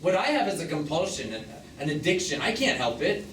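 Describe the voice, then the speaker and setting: Male, actor as defensive, jealous boyfriend in a stage drama. Blunt, animated, volatile; noisy live theatre sound. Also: a little high, a little fast. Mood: angry accusation. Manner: terse, blunt, emotionally volatile. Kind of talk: naturalistic stage drama dialogue. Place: theatre stage, recorded from the audience distance. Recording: echoey, distant, noisy live theatre recording.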